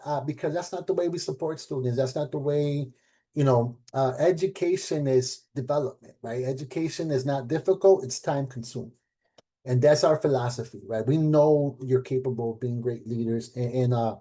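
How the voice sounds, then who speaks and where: A middle-aged man talks loosely to a room as a program director. His voice is warm and mellow, and he leans on fillers and rhetorical "right?" questions. He lights up when he quotes others. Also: on the high side. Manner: animated when quoting others. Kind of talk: casual talk at an event panel.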